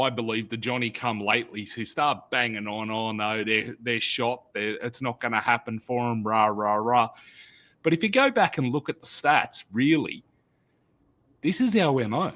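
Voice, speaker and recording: Brief: bright-toned Australian podcast co-host in his thirties, big loud voice, lively yarn-spinning delivery from a home desk mic. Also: medium pitch. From Sydney, Australia. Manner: loud, animated storyteller. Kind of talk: casual podcast chat. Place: home studio. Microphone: desk mic on video call.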